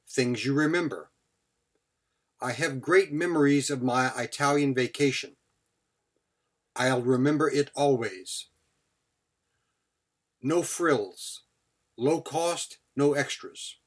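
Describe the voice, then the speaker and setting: A man giving a scripted English vocabulary lesson as a teacher, speaking in short, clipped phrases followed by example sentences. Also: medium pitch.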